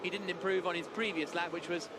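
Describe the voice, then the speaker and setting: High-pitched man commentating live. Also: steady tone, fast talker, heavy background noise. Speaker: motor racing commentator.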